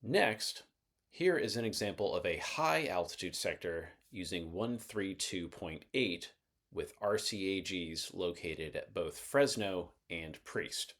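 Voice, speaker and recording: Low-voiced man narrating an explainer. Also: lively intonation, a bit bright. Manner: measured, methodical, explanatory. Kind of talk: scripted instructional narration.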